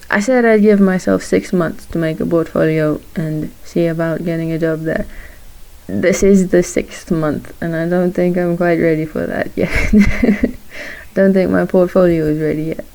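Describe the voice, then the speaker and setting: Shy young woman vlogging while she draws, low and mellow, halting with frequent soft laughs. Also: poor audio. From Morocco. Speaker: vlogger, aspiring character designer. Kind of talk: casual chat while drawing.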